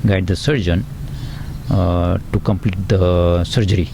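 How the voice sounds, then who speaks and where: A man's voice: deep voice, fairly even intonation, unhurried, gravelly, background noise. A middle-aged man with an Indian accent, a pathologist guest giving earnest explanatory answers with frequent fillers and "right, right".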